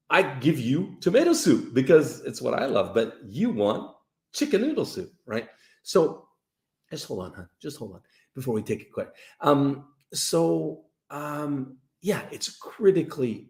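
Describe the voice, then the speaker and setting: Animated, gravelly man in a roomy space. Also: mid-range voice, unhurried. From Canada. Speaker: host of a live dating-advice show. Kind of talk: casual livestream chat with viewers. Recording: roomy, echoey sound.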